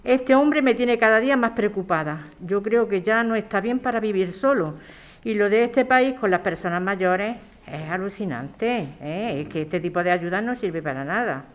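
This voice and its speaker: Una mujer que interpreta a una madre de mediana edad, atenta y preocupada, con tono conversacional en una radionovela. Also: female voice, mid-range voice, expressive, moderate pace, a little hiss or hum, close to an everyday voice. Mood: concerned, persuasive. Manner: concerned, conversational. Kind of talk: radio drama acting.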